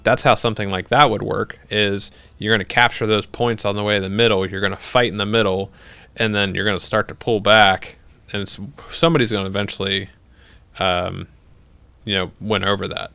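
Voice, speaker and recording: Low, smooth-voiced man chatting on a stream. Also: little pitch movement, fairly quick, bright, forceful tone, no echo. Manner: rambling, many fillers and restarts, laughs easily. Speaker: livestream host. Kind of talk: casual chat answering viewer questions.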